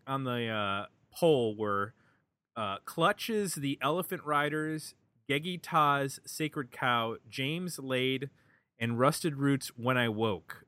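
American guy hosting a music podcast, bright forceful voice, super dry close sound, kinda halting. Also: mid-range voice, dry recording. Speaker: podcast host. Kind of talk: casual music podcast talk.

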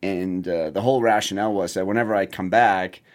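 A man in his forties from Germany, a podcast guest telling stories about his past in a relaxed, wry way. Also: sits low, fairly quick. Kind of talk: casual storytelling interview.

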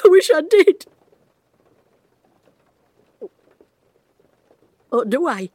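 An actress playing a retired woman detective who is losing her memory, speaking a hushed, halting inner monologue. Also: fairly high pitch, husky, dry recording. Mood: anguished. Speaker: actor in an audio drama. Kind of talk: first-person audio drama narration.

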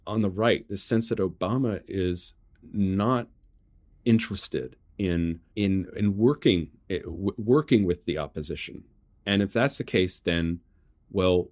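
A man speaking as an interview guest, laying out political analysis conversationally in short, measured phrases, checking in with rhetorical questions. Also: fairly low pitch, animated, darkish tone. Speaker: journalist and author, interview guest.

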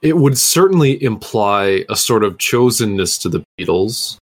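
Lowish-voiced man chiming in on discussion. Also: sing-song, moderate pace. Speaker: podcast guest.

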